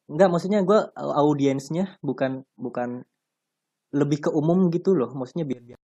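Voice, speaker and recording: A young man from Central Java, talking casually and haltingly as a guest podcaster. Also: a little high, dark tone, slightly rough. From Purbalingga, Central Java. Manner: halting, hesitant, fond of "ya Allah". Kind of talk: casual chat.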